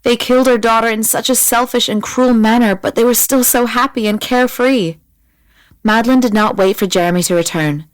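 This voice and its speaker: A woman's voice: a little high, a little fast, no echo, low-quality recording. Dry, close woman narrating an audiobook.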